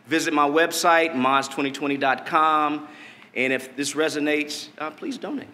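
A middle-aged man from Detroit, a political candidate delivering a campaign speech at a podium in a convention hall, deliberate and measured with emphatic rises. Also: on the high side, pushed and bright. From Detroit, Michigan. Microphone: podium mic. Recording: long hall reverb.

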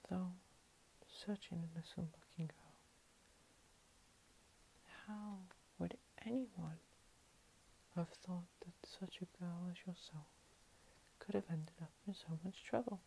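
A woman's voice: on the low side, rough recording. Woman playing a whispering, menacing vampire princess. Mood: playful, possessive. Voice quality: soft, breathy whisper. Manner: slow, teasing, condescending, with lingering pauses. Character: menacing, playful female vampire cat-girl princess, centuries-lived predator. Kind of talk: whispered ASMR roleplay. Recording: steady hiss.

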